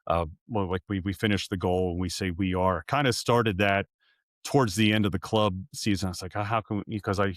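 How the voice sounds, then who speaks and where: A man's voice: deep voice, steady tone, a little fast, no echo, clean studio sound. A man in his forties, a sports arena announcer, chatting in an interview, rambling and correcting himself with little chuckles. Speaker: arena PA announcer, podcast guest. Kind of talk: casual interview chat.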